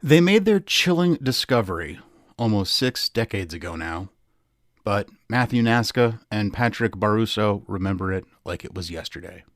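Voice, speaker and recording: A man reading scripted true crime podcast narration as host, in a measured, emphatic way. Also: on the low side, expressive, no echo, studio quality. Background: music.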